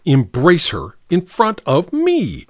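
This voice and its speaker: A sharp young woman from a storybook, acted out by a male reader. She is jealous and haughty, speaking with indignant scorn. Her pitch moves freely and the sound is dry. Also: sing-song, unhurried, dry recording. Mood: contemptuous.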